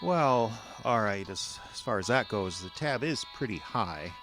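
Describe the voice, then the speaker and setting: Casting: a kindly, uneasy bartender in horror audio drama, rather low voice, folksy hesitations, over background music. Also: male voice, animated, a little slow. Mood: resigned. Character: worried, kindly small-town male bartender.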